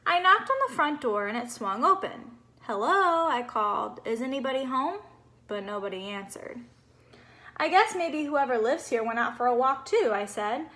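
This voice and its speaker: Female reader as naive, cheerful boy snowman for a storybook: high-pitched, expressive, bubbly, innocent. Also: moderate pace. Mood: curious, upbeat. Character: cheerful, naive little male snowman telling his story.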